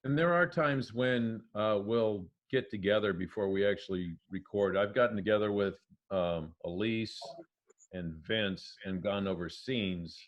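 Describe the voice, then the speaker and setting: A man who voice acts, chatting casually in a halting way, pausing to think. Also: fairly low pitch. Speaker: amateur voice actor. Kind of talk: casual panel chat. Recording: dull, lo-fi online stream audio.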